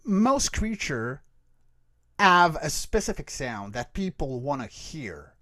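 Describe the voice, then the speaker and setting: A man speaking conversationally as an interview guest, explaining vocal technique in an animated teaching way and checking in with the listener. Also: a little high, slow, no echo, studio quality. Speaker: creature voice actor, interview guest.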